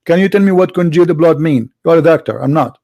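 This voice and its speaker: A man's voice: fairly high pitch, quick pace, warm tone, no room sound, studio quality. A man whose first language is Arabic, hosting a heated video-call debate, insistent and repeating himself as he cuts in. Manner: insistent, interrupting, repeats words. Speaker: debate host.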